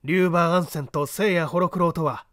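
A man's voice: fairly high pitch, moderate pace, fairly bright tone, no echo, studio quality, pitched above the performer's own voice, natural voice. A man reading an audiobook, voicing a proud, iron-willed detective in a grave, declamatory manner. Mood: grave resolve.

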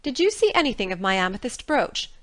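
A woman voicing a strict older female guardian with a sharp, scolding manner, read for an audiobook. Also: a little high, big pitch swings, a little fast, no echo, studio quality. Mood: stern. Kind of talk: audiobook character reading.

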